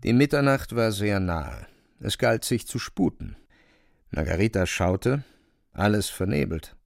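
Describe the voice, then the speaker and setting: A male narrator reads literary prose in a very dry, close sound. The voice is fairly low and gravelly. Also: no room sound.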